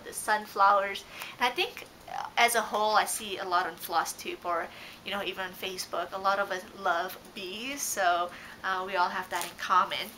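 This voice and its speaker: A woman's voice: mid-range voice, bright, forceful tone, slight background noise, rough recording. A young woman whose first language is Dutch, chatting casually on her couch as she shows off her craft haul, rambling with many ums and self-corrections. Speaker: cross-stitch vlogger. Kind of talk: casual show-and-tell haul. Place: living room couch, indoors. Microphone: fixed camera at close range.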